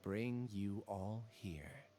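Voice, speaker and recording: An actor playing a solemn, resigned male scholar in a video game, confessing earnestly and deliberately. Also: fairly low pitch, mellow, dry recording.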